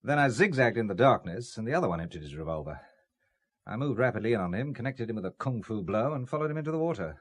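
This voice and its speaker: A man's voice: lowish voice, lively intonation, fast. An actor playing a defiant, sardonic businessman caught up in espionage, pointed and forthright, in a radio drama. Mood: brisk, tense.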